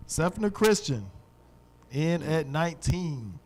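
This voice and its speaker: A slangy, laid-back man with a fairly high voice hosts a live panel from a wings restaurant. He talks casually and keeps restarting his sentences. There is a faint hiss behind him. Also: sing-song, slow. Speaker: podcast host ranking high school basketball teams.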